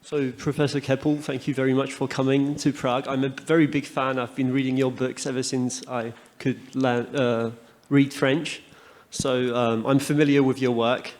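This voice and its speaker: A man's voice: medium pitch, level tone, normal speed, a little mellow. A man in the audience of a lecture asks the speaker a question, politely and with many ums and self-corrections. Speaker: audience member asking a question. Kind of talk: question from the floor. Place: lecture hall.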